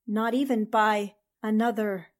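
An actress playing a brave, sharp young newspaper reporter in a 1940s-style radio mystery, speaking in an earnest, theatrical way. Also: female voice, mid-range voice, steady tone, unhurried, natural voice. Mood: puzzled, uneasy.

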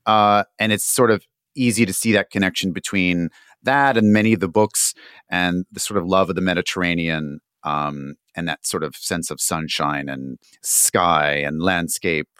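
A man's voice: sits low, moderate pace, a bit bright, clear, resonant tone, no room sound, clean studio sound. A man hosting a casual interview, putting rambling questions that restart and circle before they land. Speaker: interviewer, podcast host.

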